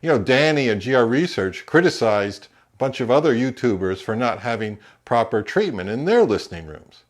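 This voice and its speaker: A middle-aged man narrating a hi-fi vlog in a conversational, measured, explanatory way. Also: medium pitch, big pitch swings, reverberant. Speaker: hi-fi YouTuber. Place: indoors in a home room.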